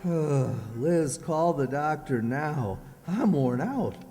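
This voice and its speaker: An actor playing a sulky, jealous, self-pitying husband with a plaintive, halting delivery in radio comedy style. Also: male voice, a little high, lively intonation, warm tone, heavily acted. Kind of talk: old-time radio comedy acting, re-created. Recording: echoey hall, long reverb.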